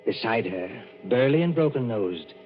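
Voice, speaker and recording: A man narrating a radio drama in a measured, ominous way. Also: mid-range voice, expressive. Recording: dull lo-fi radio recording with music.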